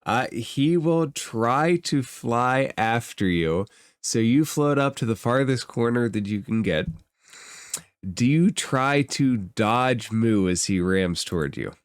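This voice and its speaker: A man runs a tabletop fantasy game as game master. His pitch is average and his pace is slow, with halting, descriptive phrases as he thinks aloud. The sound is dry, with little room in it. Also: animated, dry recording.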